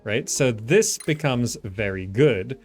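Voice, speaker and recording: A man's voice: mid-range voice, expressive, slow, soft background music. A man giving casual gameplay commentary, thinking aloud and repeating himself as he works things out. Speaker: gamer, channel host.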